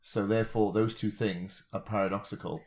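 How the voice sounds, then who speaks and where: A man's voice: lowish voice, fairly even intonation, fairly quick. A man co-hosting a podcast in casual, bantering chat, arguing his points in a mock-serious, drily joking way. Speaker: podcast co-host.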